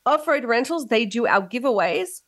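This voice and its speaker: A woman hosting a talk radio show, hoarse as she loses her voice, playful and teasing with her co-host. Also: fairly high pitch, animated, normal speed, no echo, studio quality. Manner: playful, teasing, laughs often. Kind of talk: casual radio chat.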